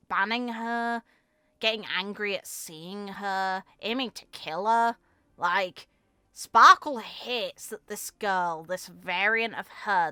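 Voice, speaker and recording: A woman's voice: mid-range voice, bright, forceful tone, clean tone, dry recording. A woman giving casual, reflective commentary on a video game in an informal, opinionated way.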